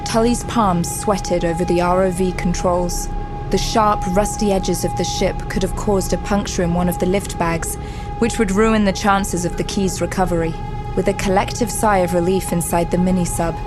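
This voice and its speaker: A woman's voice: fairly low pitch, steady tone, normal speed. A woman delivering scripted dramatic narration as the narrator of an audio drama. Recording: music behind the voice.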